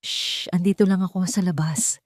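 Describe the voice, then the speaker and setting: A Filipino woman voicing a gentle, protective housemaid in an audio story, speaking in a soothing, deferential way. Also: on the low side, steady tone, moderate pace, dry recording, studio quality, lightly acted. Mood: hushed, soothing. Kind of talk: audio story character dialogue.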